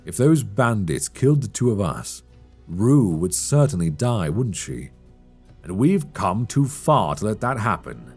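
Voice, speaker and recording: Low, husky elf adventurer played by a man. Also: very animated, faint music behind, exaggerated voice. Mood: tender, encouraging. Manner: gentle, soothing, measured delivery. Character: calm, reassuring male elf adventurer, seasoned archer. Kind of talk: intimate ASMR fantasy roleplay acting. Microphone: close binaural microphone.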